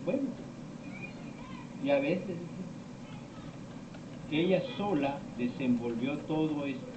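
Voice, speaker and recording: Middle-aged male lecturer, slow and meandering, quoting conversations, recorded from afar on a dull, noisy amateur recording. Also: on the high side, lively intonation. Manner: slow, rambling storytelling with many pauses and quoted dialogue. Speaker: teacher of esoteric topics. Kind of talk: informal lecture to students. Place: lecture room. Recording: echoey room, distant mic, dull lo-fi sound, noisy.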